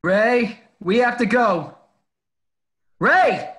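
A man's voice: very high voice, lively intonation, extremely slow, fairly bright tone, full character voice. A man reading the part of a brash, urgent starfighter pilot in a script table read over a video call.

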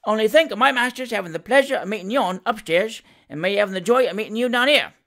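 A man's voice: high-pitched, animated, fairly quick, gravelly, a bit bright, heavily acted. A man voicing a gleeful, teasing young manservant with jovial, mock-friendly chatter in a storybook reading.

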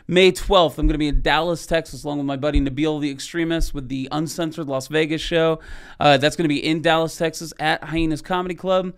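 Man, forties, podcast host, getting over a cold, gravelly, jokey, studio mic. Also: a little high, fairly even intonation, fairly quick. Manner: gravelly, jokey, self-deprecating. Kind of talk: casual podcast chat. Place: small studio room. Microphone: studio mic on arm, headphones.

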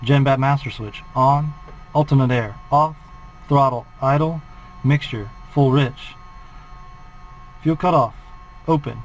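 A man's voice: mid-range voice, takes it slowly, long pauses, a little hiss or hum, low-quality recording. A flight instructor in his forties, calling out a preflight checklist in a methodical, clipped way from a small plane cockpit on a headset.

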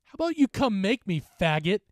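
A man's voice: high-pitched, very animated, brisk, no room sound, clean studio sound, voice pushed up. A man voicing a drunk, belligerent young male bully in a story, crude and aggressive. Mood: drunken taunting. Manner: taunting, aggressive, shouting.

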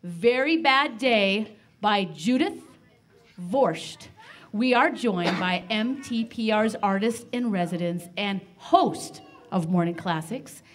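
Unhurried female radio host on stage. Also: mid-range voice, animated. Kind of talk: live hosting to an audience. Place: live broadcast in library meeting room. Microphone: stage microphone.

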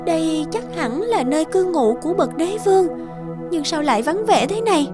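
Very high-voiced young princess. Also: female voice, lively intonation, moderate pace, over music. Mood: weary, wondering. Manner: polite, earnest, hesitant. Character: gentle, timid, loyal young princess. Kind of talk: cartoon fairy-tale dubbing.

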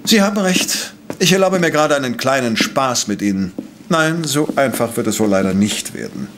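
An actor playing a shrewd, self-assured professor who investigates crimes in a German audio drama, with formal, elaborate phrasing. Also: male voice, mid-range voice, animated, moderate pace, a bit bright, slight background noise. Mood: musing, wry. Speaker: detective professor.

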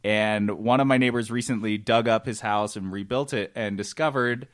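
A man co-hosting a casual comedy podcast, telling stories in a joking, animated way and swearing casually. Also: lowish voice, normal speed. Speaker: podcast co-host. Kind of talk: casual comedic group chat.

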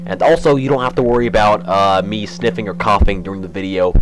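A young man with a cold and a slightly raspy voice, chatting casually as he narrates a game walkthrough. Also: lowish voice, fast talker, noisy background. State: has a cold. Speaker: let's play host. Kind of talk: casual gameplay commentary.

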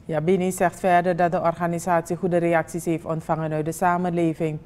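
A woman's voice: on the low side, little pitch movement, brisk, darkish tone. A woman reading scripted news as a presenter, speaking with a Surinamese Dutch accent. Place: TV studio.

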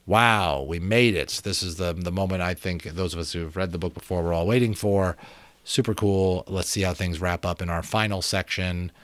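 A man in his thirties or forties giving an expressive, emphatic dramatic reading of an adventure novel. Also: sits low, moderate pace, dry recording. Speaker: audiobook reader and channel host. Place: small room at home with bookshelves. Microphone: close desk microphone.

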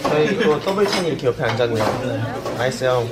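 A man's voice: medium pitch, lively intonation, brisk. A young man speaking to the camera like a presenter during a group meal. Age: young adult. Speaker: idol group member. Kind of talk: casual chat over a meal. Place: busy restaurant, indoors. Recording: echoey room, loud restaurant noise.

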